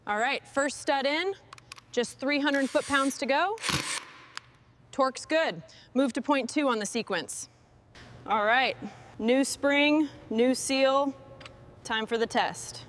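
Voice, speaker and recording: A woman with a high voice gives terse, step-by-step remarks on a machinery restoration job. There is clear ambient noise behind her short phrases. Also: takes it slowly, long pauses. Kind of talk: short work commentary during restoration.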